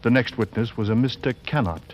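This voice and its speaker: An actor playing the grave narrator of a 1940s radio suspense drama, measured, dramatic and ominous. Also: male voice, mid-range voice, lightly acted. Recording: muffled old radio recording, music underneath.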